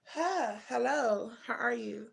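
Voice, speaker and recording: Brief: woman in her thirties, livestream host, gravelly voice, chatty and familiar with her viewers. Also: a little high, lively intonation, slow pace. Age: 30s. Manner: chatty, addresses viewers as baby and twin, answers comments. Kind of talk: casual live chat with viewers.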